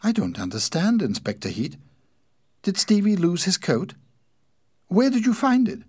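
A man's voice: high voice, huge pitch swings, unhurried, a little mellow, husky, pitched above the performer's own voice, full character voice. A man voicing a worried, confused married shopkeeper woman in an audiobook, nervous and full of questions. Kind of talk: audiobook character dialogue.

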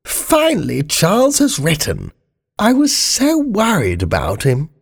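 A man's voice: fairly high pitch, wildly swooping pitch, measured pace, creaky, pitched above the performer's own voice, full character voice. A man voicing an elderly British queen, a proper and worried mother, in an audiobook story. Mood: relieved, anxious.

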